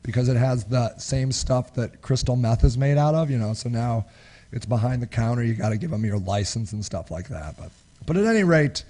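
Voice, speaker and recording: A middle-aged man with a raspy, husky voice from a cold, hosting a live webinar in casual, rambling chat with many restarts. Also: mid-range voice, darkish tone. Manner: rambling, many restarts and chuckles, gestures with hands. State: recovering from a cold. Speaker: webinar host. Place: small home office, indoors. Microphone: studio microphone close in front.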